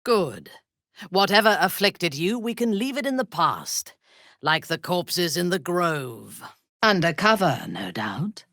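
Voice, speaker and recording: An actress playing a haughty, menacing female drow warrior cleric in a video game, speaking in an imperious, measured, cutting way. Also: lowish voice, sing-song, a bit bright, dry recording, clean studio sound, exaggerated voice. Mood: cool, disdainful. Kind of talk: video game character voice lines.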